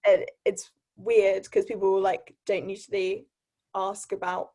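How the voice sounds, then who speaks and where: A young woman being interviewed, casual and hesitant, laughing often and pausing on "um". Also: mid-range voice, flat delivery, mellow. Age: young adult. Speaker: interview guest. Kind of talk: casual interview over a video call.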